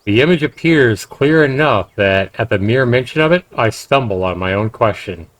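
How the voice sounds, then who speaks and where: A man's voice: mid-range voice, animated, normal speed, a bit bright, dry recording. A man hosting a game livestream, reading narration aloud and dropping in casual comments. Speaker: livestream host.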